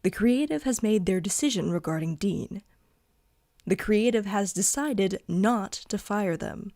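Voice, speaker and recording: A woman's voice: mid-range voice, expressive, unhurried, mellow, dry recording. A woman playing a blunt, matter-of-fact office coworker in an audio drama, with a dry, awkward manner.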